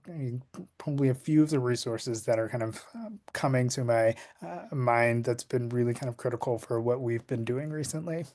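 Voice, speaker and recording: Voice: mid-range voice, a little mellow. Hesitant, rambling panelist.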